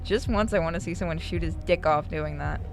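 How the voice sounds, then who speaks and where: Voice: mid-range voice, brisk, noisy background. A streamer narrating a horror visual novel aloud and breaking off for casual asides.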